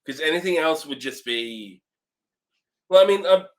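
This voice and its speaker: A man's voice: fairly high pitch, animated, moderate pace. A man talking casually as co-host of a movie review show.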